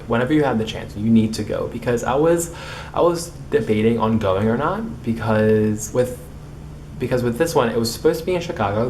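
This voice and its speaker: A man's voice: mid-range voice, a little mellow, slight background noise. A young man who stutters, with repeated starts and blocks, chatting casually to camera in a vlog. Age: young adult. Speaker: vlogger.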